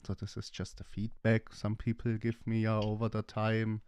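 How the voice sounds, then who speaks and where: A man with a calm, soothing voice, chatting casually in a live crypto AMA and laughing often. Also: lowish voice, normal speed, a little hiss or hum. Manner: laughs often while talking. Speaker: crypto project team member.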